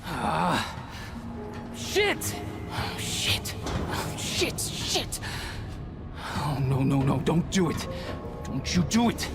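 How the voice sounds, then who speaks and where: Wildly expressive young woman traveler. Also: deep voice, very slow, full character voice. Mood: panicked, exasperated. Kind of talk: cinematic video game acting. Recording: loud music behind the voice.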